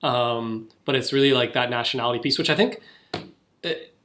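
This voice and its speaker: A man's voice: medium pitch, moderate pace, a bit bright, a little hiss or hum. A young man co-hosting a podcast, talking casually with lots of fillers and restarts. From United States. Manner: chatty, filler-heavy, many restarts. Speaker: podcast co-host. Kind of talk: casual chat.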